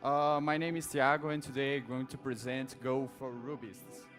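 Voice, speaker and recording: A man's voice: mid-range voice, moderate pace, faint music behind. Young Brazilian man giving a tech talk. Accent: Brazilian Portuguese. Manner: relaxed, self-deprecating, many fillers and restarts. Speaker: conference speaker. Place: large dark auditorium stage.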